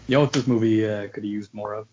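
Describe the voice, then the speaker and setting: A man's voice: on the low side, steady tone, fast talker, darkish tone, dull audio, slight background noise. A man co-hosting a podcast, bantering casually about films. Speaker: podcast co-host.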